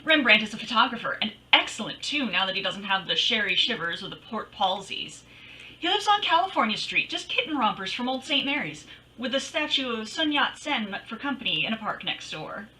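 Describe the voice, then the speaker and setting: A woman acts out a brassy lady private eye from a radio mystery. Her high voice swoops animatedly through wry, slangy wisecracks, picked up from some distance off the microphone. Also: big pitch swings, brisk, sharp tone, a little hiss or hum. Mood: breezy, wry. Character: brassy, wisecracking female private detective, persuasive and breezy. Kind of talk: old-time radio detective acting and narration.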